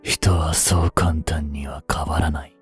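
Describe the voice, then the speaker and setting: A young man in his twenties playing a treacherous, smooth-tongued captive prince and spy in a Japanese audio drama, with courteous, theatrical speech that can turn mocking. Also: a bass voice, little pitch movement. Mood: mocking, cruel. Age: 20s. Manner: slow, theatrical, courteous speech slipping into taunts. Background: background music.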